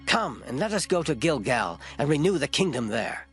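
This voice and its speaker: An actor playing an old, gray-headed prophet, solemn, stern and declamatory, in a dramatized scripture reading. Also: male voice, a little high, sing-song, moderate pace, fairly bright tone, soft background music. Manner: declamatory, grave.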